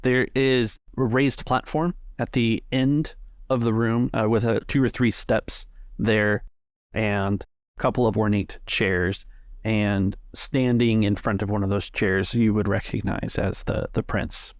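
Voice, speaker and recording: A man narrating a tabletop role-playing game as the game master, with a gravelly voice. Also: fairly low pitch, steady tone, measured pace, dry recording, studio quality.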